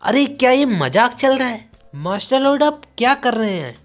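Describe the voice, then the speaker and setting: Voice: mid-range voice, sing-song, moderate pace, lightly acted. A narrator voicing a loyal, anxious male knight who serves a lord and speaks earnestly. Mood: shocked.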